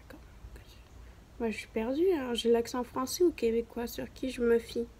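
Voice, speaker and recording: Young woman with a southern French accent for a casual home vlog, light and higher voice, rambling and self-mocking. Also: normal speed, darkish tone, husky. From southern France. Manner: rambling, self-mocking, chatty with many fillers. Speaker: beginner vlogger. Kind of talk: casual chat to camera.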